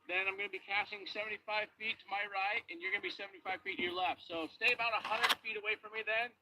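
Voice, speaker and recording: A high-pitched man gives brisk orders from a boat, explaining each step of the search plan. The recording is noisy and outdoors, with constant hiss behind him. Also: fairly even intonation. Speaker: search team leader. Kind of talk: directing a sonar search on the water.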